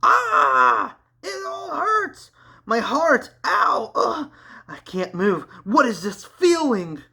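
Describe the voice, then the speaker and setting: A man's voice: very high voice, very animated, drawn-out words, gravelly, bright, forceful tone, voice pushed up, exaggerated voice. Man doing a wailing dying heart of death. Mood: agonized. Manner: wailing in pain. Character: dying golden heart of death, monstrous thing.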